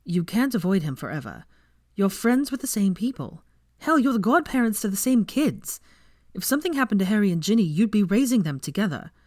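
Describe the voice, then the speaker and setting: A woman voicing a gentle, earnest young man, a concerned friend coaxing someone in a story reading. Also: medium pitch, big pitch swings, a little fast, no room sound, clean studio sound, pitched above the performer's own voice. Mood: earnest, pleading. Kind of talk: fan fiction audiobook character voice.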